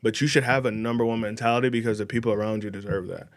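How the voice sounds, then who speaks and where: A man's voice: fairly low pitch, steady tone, racing. A man in his thirties, a guest on a podcast, talking casually in a confident, emphatic, motivational way. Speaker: podcast guest, mortgage banker. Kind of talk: casual interview talk.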